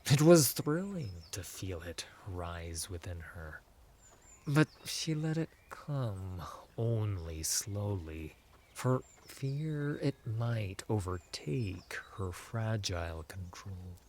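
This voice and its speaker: A man narrating a scripted fantasy audiobook in a measured, dramatic storytelling manner. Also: fairly low pitch, sing-song, a little mellow, slight background noise.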